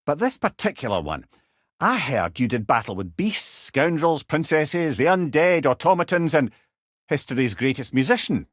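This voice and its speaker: Game role: witty old Scottish storyteller god, eloquent and wry, animated intonation, dry studio sound. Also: male voice, on the high side, normal speed, no room sound. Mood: amused, musing. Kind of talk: video game character dialogue, storytelling banter.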